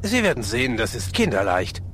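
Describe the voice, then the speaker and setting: A man playing a courteous, confident police inspector in a German audio drama, explaining things politely and briskly. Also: mid-range voice, big pitch swings, a bit bright, slight background noise.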